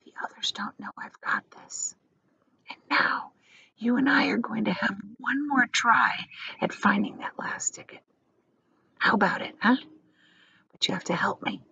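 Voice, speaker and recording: Lady doing an excited old grandpa from a storybook, whispery n nervous, eager, bit muffled recording. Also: medium pitch, unhurried, pauses often, no room sound, full character voice. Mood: secretive. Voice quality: breathy whispering.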